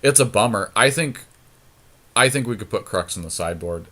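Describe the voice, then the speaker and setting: A man's voice: lowish voice, animated, brisk, pushed and bright. A man talking casually and thinking aloud as he commentates on a card game draft in colloquial speech. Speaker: podcast host, card game player.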